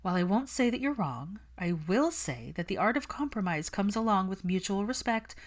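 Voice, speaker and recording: A woman voicing a serious young witch healer, guarded and formal as she answers in measured phrases, in a story reading. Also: medium pitch, lively intonation, pitched above the performer's own voice, lightly acted.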